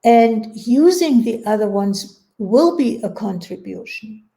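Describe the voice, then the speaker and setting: Slow, creaky, mellow-voiced woman. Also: a little high, animated. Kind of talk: reflective casual conversation.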